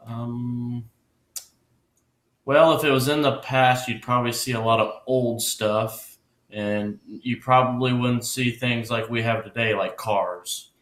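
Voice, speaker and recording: A man's voice: mid-range voice, steady tone, slow pace, a bit bright, reverberant. A man playing a curious young student who hesitates and asks many questions.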